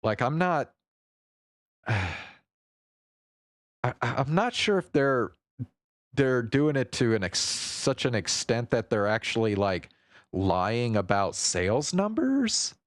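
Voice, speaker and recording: A man's voice: medium pitch, big pitch swings, takes it slowly, pauses often, no room sound, clean studio sound. A man talking casually and unscripted as a livestream host, thinking aloud hesitantly and swearing now and then.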